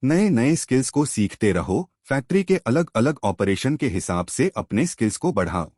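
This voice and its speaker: A man voicing an old factory technician, a wise and firm mentor giving measured instruction in a moral story. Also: medium pitch, very animated, a little mellow, dry recording, clean studio sound. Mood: advising, firm.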